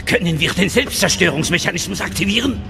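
High, creaky, panicky alien viceroy. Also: male voice, lively intonation, quick pace, full character voice. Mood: anxious, imperious. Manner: agitated, haughty, exclaiming. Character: panicky, imperious male alien viceroy, cowardly and irritable. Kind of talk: audio drama acting.

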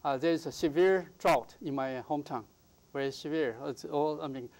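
An older man whose first language is Mandarin, a poet guest in a TV studio interview, talking in a halting way with many fillers and restarts on a lapel mic. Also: on the high side, darkish tone. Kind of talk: interview conversation. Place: TV studio, seated.

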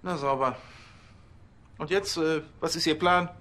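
An actor playing a fussy, exasperated police chief, stammering and pedantic in a TV police comedy. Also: male voice, fairly high pitch, unhurried, pushed and bright, a little hiss or hum. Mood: sour.

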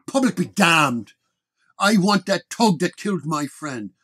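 Male actor as beefy 1930s transit cop for radio drama: gruff, indignant, folksy, slightly high-set voice. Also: expressive, a little slow, a bit bright, exaggerated voice. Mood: angry. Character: florid, hot-tempered beefy Irish-American transit policeman.

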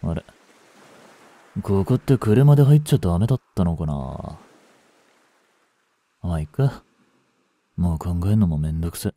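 A man playing a young delinquent in a voice drama, listless and blunt, with rough slangy talk and a caring streak. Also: low-pitched, animated, moderate pace, pauses often, warm tone, no echo. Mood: teasing, blunt. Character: gruff, languid young male delinquent, secretly caring. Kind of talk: Japanese voice drama for female listeners.

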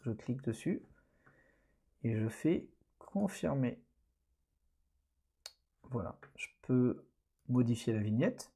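Man, course instructor, mellow voice, relaxed and halting screen walkthrough. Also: mid-range voice, creaky, slightly rough. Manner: relaxed, hesitant, many fillers. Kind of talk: screen-recorded tutorial narration.

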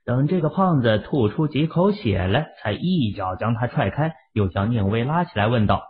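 A man's voice: mid-range voice, expressive, normal speed. A man narrating a novel as a scripted, dramatic audiobook reading. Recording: tinny, narrow-band phone-like audio.

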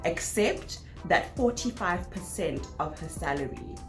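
A young South African woman with a South African accent chatting in a casual Q&A vlog, animated and quick to laugh, filling her talk with "like". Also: lowish voice, echoey room, over loud music. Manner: animated, laughing bursts, lots of "like". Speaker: vlogger. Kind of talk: casual Q&A chat. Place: small bedroom indoors. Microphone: laptop camera at desk distance.

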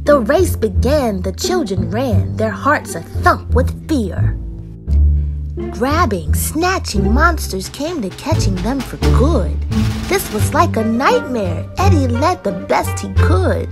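A young woman with a fairly high voice reads and chats for children like a picture-book host. Her delivery is unhurried and very lively in pitch, with careful, expressive phrasing. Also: over loud music. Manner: expressive, deliberate storyteller delivery. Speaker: children's read-aloud show host. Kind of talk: expressive storybook narration and host talk for children.

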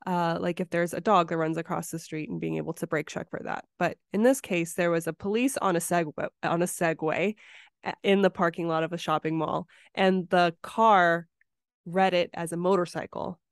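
Lowish-voiced woman, dry close sound. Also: steady tone, moderate pace, creaky, clean tone, no echo. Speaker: podcast host. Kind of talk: casual conversational storytelling.

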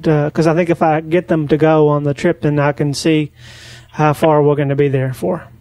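High-pitched man, naive rambling caller. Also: level tone, darkish tone, no echo. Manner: naive, rambling, slow on the uptake. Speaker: prank caller on a comedy podcast. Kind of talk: deadpan prank phone call.

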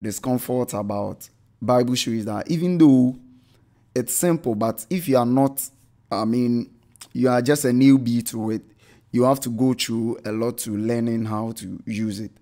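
A man's voice: mid-range voice, unhurried, mellow. A man giving an unscripted screen-share tutorial, often correcting himself with "I mean" and "um" as he explains. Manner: rambling, self-correcting with frequent "I mean" and "um". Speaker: tech channel host reviewing church software.

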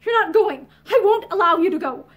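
A woman acting an overwrought, high-voiced pleading wife. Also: measured pace, muffled recording. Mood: feverish, pleading. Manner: feverish, excited, breaking off mid-phrase. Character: overwrought, anguished unhappy wife and mother, fiercely pleading.

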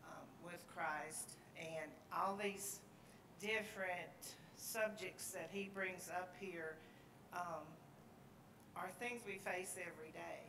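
A woman sharing thoughts in a church class, slow and hesitant. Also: fairly low pitch, fairly even intonation. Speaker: class member. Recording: echoey room, distant mic, noticeable noise.

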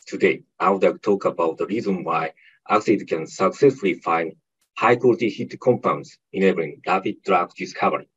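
Low husky man on muffled audio. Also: a bit bright. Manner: read-out, measured delivery. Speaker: senior director presenter. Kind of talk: webinar presentation. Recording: dull muffled webinar audio.

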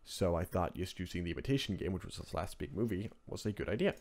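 A man from Norway hosting a casual software tutorial in English as a second language, talking in a rambling, offhand way with informal asides. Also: deep voice, animated, fast talker. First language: Norwegian. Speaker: tutorial host. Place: indoors at a computer, screen recording voice-over.

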